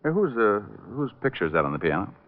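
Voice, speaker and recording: An actor playing a cocky, glib private detective who teases and narrates wryly, in 1940s radio drama style. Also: male voice, fairly low pitch, big pitch swings, quick pace. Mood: probing, casual. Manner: glib, wry, teasing. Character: wisecracking cocky male private detective, breezy and flirtatious. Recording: muffled old radio drama, music behind.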